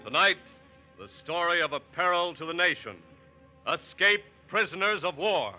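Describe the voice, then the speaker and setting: High-voiced, solemn American radio announcer man. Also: lively intonation, moderate pace. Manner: solemn, ceremonious, emphatic. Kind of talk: formal 1940s radio announcing. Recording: muffled old radio broadcast, loud music.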